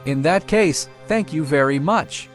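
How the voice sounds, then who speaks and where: Man acting a lively young coffee shop owner. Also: fairly high pitch, a little slow, faint music behind. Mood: gentle, teasing. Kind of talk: romance comic dub acting.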